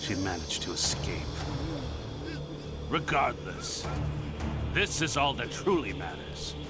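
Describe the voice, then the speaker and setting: A scheming male video game villain, patient and menacing. His low voice gloats in slow, deliberate phrases over loud music. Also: very animated. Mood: gloating, menacing. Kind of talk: video game cutscene acting.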